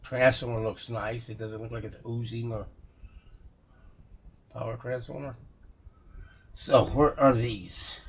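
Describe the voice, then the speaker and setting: Slow, husky-voiced man musing aloud. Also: medium pitch, steady tone. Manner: musing aloud, halting, talking to himself and viewers. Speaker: vintage radio restorer showing a repair. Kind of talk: casual unscripted workbench commentary.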